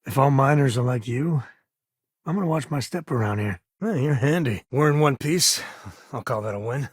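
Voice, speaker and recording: An actor playing a rugged, warm-hearted frontier ranger and single father in a video game, with a folksy, laid-back drawl and dry wit. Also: medium pitch, lively intonation, moderate pace, slightly rough, dry recording. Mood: earnest, firm. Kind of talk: video game voice acting, companion dialogue.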